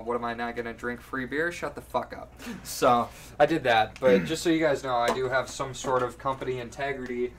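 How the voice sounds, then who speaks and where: A man's voice: mid-range voice, a bit bright. A man in his twenties co-hosting a casual podcast, boisterous and joking, with slangy, profane talk. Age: 20s. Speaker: podcast co-host. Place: furnished home room, indoors. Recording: hissy room noise, distant mic.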